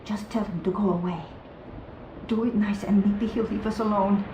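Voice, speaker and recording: An anxious, practical village woman acted by an actress in a game scene. Her medium-pitched voice urges caution, echoing in a room with faint noise. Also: fairly even intonation, normal speed, close to an everyday voice. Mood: anxious urging. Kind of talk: video game cutscene acting. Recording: roomy echo, faint background noise.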